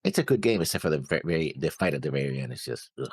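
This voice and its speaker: A man co-hosting a reaction show, talking casually through notes with halting, noncommittal phrasing. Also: rumbling low, very animated, breathy edge, no echo. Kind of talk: casual discussion, reading out notes.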